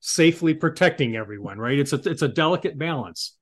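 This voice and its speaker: A man hosting an interview, reading prepared news items and questions with measured, formal phrasing. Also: on the high side, lively intonation. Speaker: interview host.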